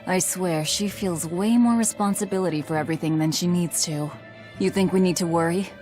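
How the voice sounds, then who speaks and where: A woman's voice: fairly low pitch, fairly quick, darkish tone, soft background music, close to an everyday voice. A woman playing a brusque, guarded, sardonic young female fighter in a video game.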